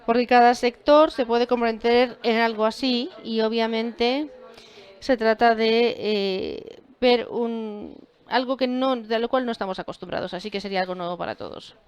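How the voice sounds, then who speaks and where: A woman's voice: fairly high pitch, fairly even intonation, moderate pace, smooth, highs cut off. A woman making remarks in a workshop discussion, hesitant and searching for her words.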